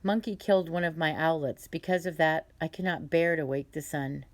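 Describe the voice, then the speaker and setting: A woman's voice: low-pitched, moderate pace, no room sound, close to an everyday voice. A woman voicing a grieving mother owl who speaks plainly and sorrowfully, in a storybook read-aloud.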